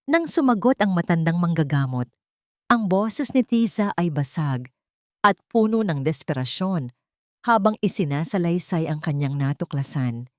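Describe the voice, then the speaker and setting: A woman narrating a scripted dramatic story in a measured, solemn manner. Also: sits low, a little mellow, dry recording, clean studio sound.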